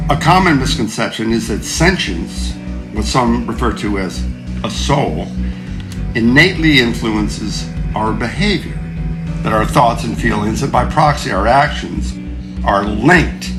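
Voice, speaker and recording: A man acting in an audio drama as an idealistic, modest neuroscientist, measured and explanatory in an understated way. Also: mid-range voice, lightly acted, low-quality recording. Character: idealistic, modest male neuroscientist giving talks. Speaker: actor.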